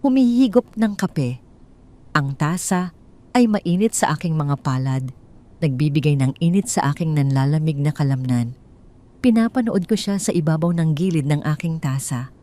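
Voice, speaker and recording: Calm woman narrating a drama. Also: low voice. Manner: calm, measured, coolly descriptive. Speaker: storyteller. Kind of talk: first-person scripted narration.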